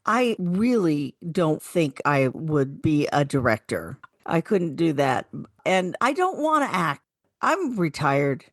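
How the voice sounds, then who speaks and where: A woman's voice: low voice, very animated, slow pace, no room sound, clean studio sound. An older woman, a retired actress, talking casually as a radio co-host.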